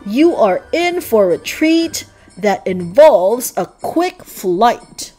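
Jolly old male storybook figure, read by a woman: loud, hearty, commanding, very animated, music behind. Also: on the high side, drawn-out words, exaggerated voice. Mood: hearty, reassuring. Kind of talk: children's storybook read-aloud.

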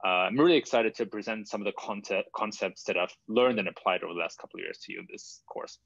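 German-accented guy on a video call, lowish voice, dry muffled sound, lots of uh's. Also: fast, creaky, dry recording. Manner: steady, frequent "uh" fillers. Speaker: guest speaker. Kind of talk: webinar self-introduction. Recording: dull, dry online call audio.

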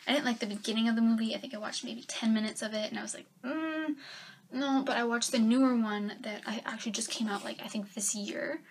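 A young woman giving a casual book review from her bedroom, chatty and rambling with lots of fillers, her voice a little raspy as she is getting sick. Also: medium pitch, slight background noise. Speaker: book vlogger. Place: bedroom, indoors. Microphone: webcam at desk.

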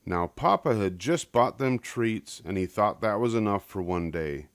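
A man's voice: fairly low pitch, animated, a little slow, dry recording. An older man narrating a children's picture book as a scripted story reading.

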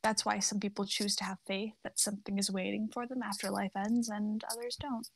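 A gentle, thoughtful mother in her early thirties, played by a woman in a dramatic reading. The voice is medium in pitch with plenty of creak, recorded very dry. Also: flat delivery, fairly quick, no echo. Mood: thoughtful, tender.

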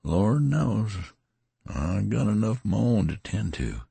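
Male reader doing a tattered, wounded rustic soldier: raised, rasping voice, rambling folksy speech, audiobook. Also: mid-range voice, very animated, slow pace, darkish tone, no room sound, pitched above the performer's own voice, heavily acted. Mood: slurred, pleading. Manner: rambling folksy dialect, slurring as he weakens.

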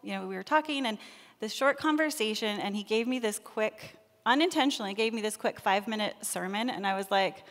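Soft-spoken woman preaching in a reverberant church. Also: mid-range voice. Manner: soft-spoken, talks fast when excited. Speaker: lay preacher. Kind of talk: sermon. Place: church sanctuary, indoors. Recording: long reverb of a church hall.

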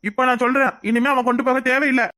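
Stubborn head-of-house husband in a serial, rattles off orders quick. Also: male voice, very high voice, level tone, pushed and bright. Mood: stern.

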